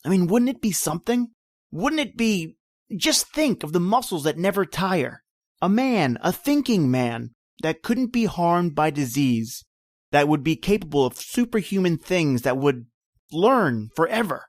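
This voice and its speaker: A man's voice: fairly high pitch, very animated, unhurried, no echo, studio quality. A man playing an earnest, dreamy young workshop assistant in an old-time radio horror drama, with a musing manner. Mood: musing, eager.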